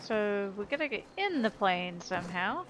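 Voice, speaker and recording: A woman's voice: mid-range voice, big pitch swings, normal speed, noisy background. A woman narrating her own gameplay in a casual, upbeat way, thinking aloud as she goes. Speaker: streamer playing and narrating a video game.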